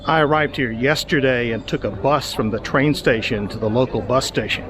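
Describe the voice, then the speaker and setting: A middle-aged man hosting a travel show, narrating in a relaxed, conversational way with small hesitations as he walks around outdoors. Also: mid-range voice, normal speed, noisy background. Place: outdoors in a busy tourist town. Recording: crowd chatter behind the voice. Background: crowd of tourists.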